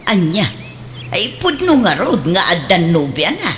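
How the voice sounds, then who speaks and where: A woman's voice: lowish voice, huge pitch swings, unhurried, slight background noise. A woman playing an inquisitive middle-aged mother who fusses over her son in a comedic radio drama, questioning in a conversational way. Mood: motherly, gossipy.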